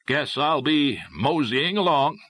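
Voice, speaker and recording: A man voicing a stoic, patient gentleman adventurer in an audiobook, calm, measured and understated. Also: on the high side, dry recording, voice pushed up, full character voice. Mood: nonchalant. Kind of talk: audiobook character voice.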